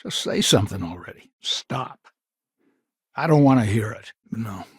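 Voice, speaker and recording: An actor playing a stern, proud old grandfather of a frontier family in a video game, gruff and curt, with a gravelly, husky voice and folksy turns of phrase. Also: lowish voice, expressive, a little slow, no echo, clean studio sound, exaggerated voice. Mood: gruff, dismissive. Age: older. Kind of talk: dramatic video game dialogue acting.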